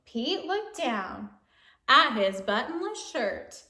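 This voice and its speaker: Female children's read-aloud narrator, very unhurried, playful and exaggerated, sing-song delivery, recorded in an echoey room. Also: high-pitched. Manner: animated, playful, exaggerated exclamations and questions to young listeners. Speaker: storyteller reading a picture book to children.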